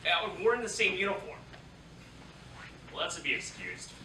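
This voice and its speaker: A man's voice: sits high, wildly swooping pitch, moderate pace, pushed and bright, full character voice. A man playing a proud, bitter young Japanese American Second World War soldier in a staged reading, emphatic and declamatory. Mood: bitter, impassioned. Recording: roomy, distant theatre recording, faint noise.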